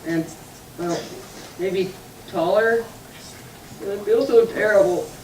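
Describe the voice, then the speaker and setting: A woman's voice: mid-range voice, slow, a little mellow, recorded from a distance, lightly acted. A woman doing a sulky little boy puppet. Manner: whiny and sulky, cries in bursts. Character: small sulky boy puppet hiding up a tree. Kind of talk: church puppet show acting. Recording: echoey room picked up from afar, faint noise.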